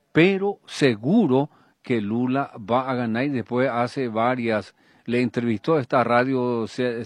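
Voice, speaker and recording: Husky male radio host, playful. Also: mid-range voice, no echo. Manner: playful, bantering, laughs easily. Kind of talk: radio news and casual chat.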